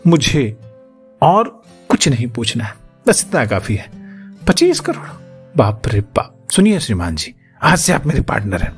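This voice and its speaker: A man voicing a pushy, flattering, greedy rich businessman in an audiobook, insistent and wheedling. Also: mid-range voice, normal speed, faint music behind. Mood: excited, insistent. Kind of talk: audiobook character acting.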